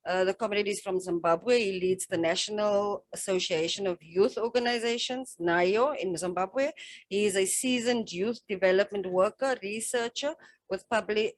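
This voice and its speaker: Emphatic woman moderating a session. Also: medium pitch. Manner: appreciative, emphatic, comradely. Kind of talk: spontaneous event moderation.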